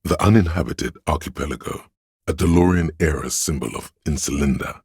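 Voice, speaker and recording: A learned, solemn inner voice of trivia for a video game. The pitch is exceptionally deep and the tone heavily husky, recorded close and dry. Delivery is measured and erudite, like scripted narration of obscure facts. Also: male voice, a little mellow, dry recording, exaggerated voice. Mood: measured, informative.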